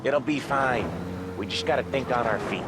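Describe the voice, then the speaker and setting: An actor playing a laid-back, reassuring American gangster with a matter-of-fact manner in a crime video game. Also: male voice, mid-range voice, moderate pace, loud music behind the voice, close to an everyday voice. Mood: calm, reassuring. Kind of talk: video game cutscene acting.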